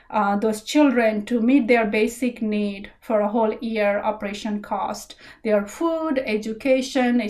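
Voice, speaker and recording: A woman's voice: on the high side, darkish tone. A middle-aged woman from Nepal with a Nepali accent, giving an earnest, thankful founder's speech at an online gala. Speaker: charity founder.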